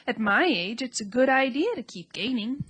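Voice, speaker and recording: A woman voicing a young male pig who is frightened and sobbing, with a shrill, wailing manner. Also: expressive, moderate pace, fairly bright tone, breathy edge. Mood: earnest.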